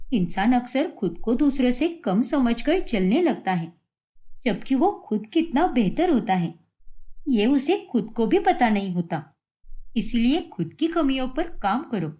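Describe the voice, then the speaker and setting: An earnest, virtuous younger sister-in-law in a moral cartoon, played by a woman. She speaks in a fairly high voice with very lively intonation. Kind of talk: acted moral-story cartoon.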